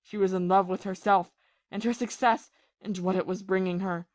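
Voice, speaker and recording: A woman playing a bitter, lovesick, ruined ex-army captain in a period audio play, confessing passionately and haltingly. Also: mid-range voice, fairly even intonation, a little mellow, no echo, close to an everyday voice. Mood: bitter, despairing. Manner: confessional, impassioned, halting with repeated phrases.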